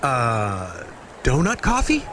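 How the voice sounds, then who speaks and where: An earnest, childlike robot pal from a video game. He talks simply and a little hesitantly in a lively mid-range voice, with clear city noise behind. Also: medium pitch, slow pace. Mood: eager, unsure.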